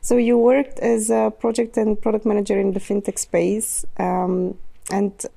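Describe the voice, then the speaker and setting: A woman hosts an interview podcast, first reading an introduction and then asking gentle follow-up questions. Her voice is mid-pitched, mellow and dark, with a strong gravelly fry running through it. She asks questions in an easy, probing way. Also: level tone, measured pace. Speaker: podcast host. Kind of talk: interview hosting with a read intro.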